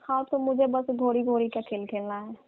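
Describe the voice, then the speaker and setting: A woman plays a coaxing village aunt in a comic phone-call skit. Her voice is on the high side, colloquial and teasing, and pushy in her flirting. The sound is thin and dry, like a recorded phone call. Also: steady tone, normal speed, dry recording, lightly acted. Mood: flirtatious, coaxing.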